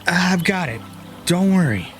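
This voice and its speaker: Man as a slow, dry young knight. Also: high voice, lively intonation, fairly bright tone, soft background music. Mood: cool, stubborn. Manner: cool, sarcastic. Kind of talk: audio drama acting.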